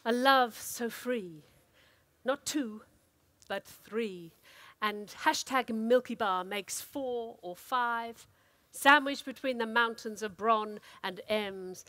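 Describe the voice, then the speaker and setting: A woman performing a dramatic, expressive reading of a comic story as an actor. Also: a little high, slow, no echo. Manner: theatrical, expressive delivery.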